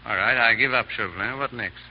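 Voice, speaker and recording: An actor playing a gallant, suave English aristocrat in 1930s radio drama, with mockingly courteous manners. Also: male voice, mid-range voice, quick pace, lightly acted. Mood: resolute. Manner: urbane, mockingly courteous, drawling politeness. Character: gallant, suave, foppish English aristocrat hero, calm under threat. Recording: muffled, noisy 1930s radio broadcast.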